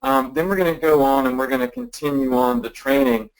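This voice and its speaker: A man's voice: mid-range voice, fairly quick, poor audio. A man in his thirties hosting a live online marketing webinar from his office, chatty and upbeat, with plenty of ums and restarts. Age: 30s. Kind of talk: casual live webinar teaching. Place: office at a stand-up desk. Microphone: desk mic on a live webinar.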